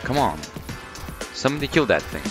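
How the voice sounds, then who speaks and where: A man's voice: mid-range voice, sing-song, brisk. A man giving casual, reactive gameplay commentary, given to exclamations and swearing. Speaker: gamer commentating own gameplay. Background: upbeat music.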